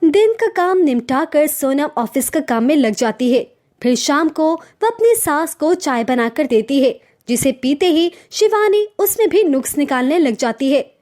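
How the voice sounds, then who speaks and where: A woman's voice: high-pitched, lively intonation, measured pace, a little mellow. A woman narrator reading a scripted story.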